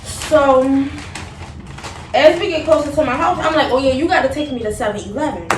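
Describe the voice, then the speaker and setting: Casting: young woman, fairly high voice, casual animated storyteller, roomy noisy recording. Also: brisk. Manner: animated retelling with quoted dialogue. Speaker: vlogger. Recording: roomy, noisy, distant microphone.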